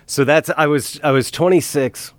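A man's voice: on the high side, moderate pace, dry recording. A middle-aged man in casual podcast talk, earnest and emphatic, pressing his points with conviction.

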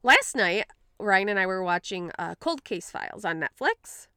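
A woman's voice: mid-range voice, very animated, moderate pace, pushed and bright, clean tone, no room sound, clean studio sound. A woman podcast co-host from Michigan with a Western Michigan accent that has a touch of the Upper Peninsula, chatting casually, swearing freely and laughing often. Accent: Western Michigan, Upper Peninsula tinged.

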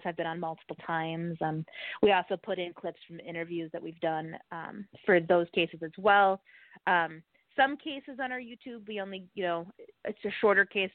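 A woman's voice: lowish voice, dry recording. A middle-aged woman podcast co-host chatting casually as a guest in an interview. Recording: dry, muffled band-limited call audio.

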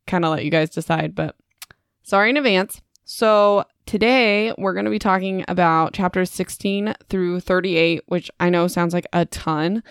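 A woman's voice: fairly low pitch, clean tone, no echo, clean studio sound. Chatty young woman recapping a book. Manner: chatty, rambling, self-deprecating asides. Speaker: podcast host. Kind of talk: casual book recap podcast.

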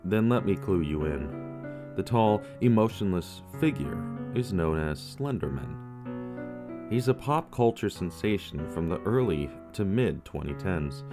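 Male podcast narrator, low voice with lively inflection, faint guitar behind; true-crime storytelling. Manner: measured storytelling with dramatic pauses.

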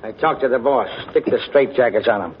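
A man acting a commanding hoodlum from a vintage radio crime show. His mid-pitched voice is clipped and slangy. The recording is dull with faint noise. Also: brisk, lightly acted. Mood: brisk, commanding. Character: tough, bossy gangster gunman giving orders.

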